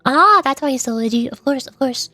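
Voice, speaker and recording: High-pitched bubbly woman streamer. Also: lively intonation, brisk, pushed and bright, no echo, soft background music. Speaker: livestreamer, VTuber. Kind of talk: casual livestream chat over a game.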